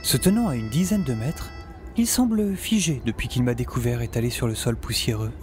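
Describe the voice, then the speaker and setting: An actor playing a wry, excitable young male survivor narrating his wasteland adventure in a wordy, theatrical, self-mocking way for an audio saga. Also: mid-range voice, a little fast, close to an everyday voice. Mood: tense, wry. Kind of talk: dramatised first-person audio saga narration. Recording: loud music bed under the voice.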